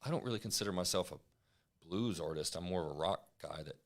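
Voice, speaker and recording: A man's voice: low-pitched, quick pace. A man, a musician guest talking in a laid-back, self-deprecating way. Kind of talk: casual interview chat.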